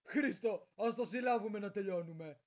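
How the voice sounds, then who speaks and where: A man's voice: high voice, lightly acted. A man voicing a bossy, earnest floating mask spirit sidekick in a cartoon parody dub, urging others on. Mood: commanding.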